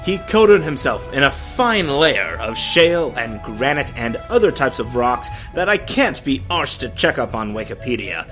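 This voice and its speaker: Guy doing a dramatic funny story reading, kinda high voice, super animated n sarcastic, music behind. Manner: theatrical, sardonic, with exasperated asides. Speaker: narrator of a story. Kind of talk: dramatic comedic fan fiction reading.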